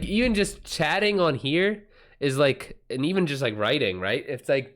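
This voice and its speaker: A man hosts a relaxed livestream at a medium pitch, talking casually to his chat. His delivery is informal and halting, full of slang and the odd swear word. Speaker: livestream host and writer. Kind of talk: casual livestream chat, reacting to viewer messages.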